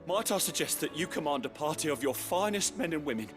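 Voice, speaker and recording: High, theatrical, pompous gentleman played by an actor. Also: expressive, quick pace, over music, natural voice. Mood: indignant, grandiose. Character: grandiloquent, excitable male gourmand, theatrically enraptured by food. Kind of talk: video game voice acting.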